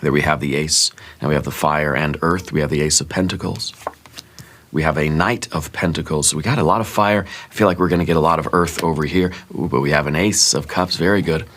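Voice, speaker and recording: A man giving a soft-spoken ASMR tarot reading in a musing, gently reassuring way, a little congested with a sore throat. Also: very deep voice, steady tone, a little fast, a little hiss or hum. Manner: musing, gently reassuring, thinks aloud with fillers. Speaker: tarot reader.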